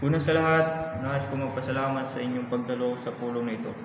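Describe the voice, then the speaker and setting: A man's voice: mid-range voice, steady tone, fast, lightly acted. A man with a Filipino accent playing an earnest young national hero, narrating his own travels in a student radio drama. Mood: earnest, polite. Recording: muffled, noisy, echoey hall recording.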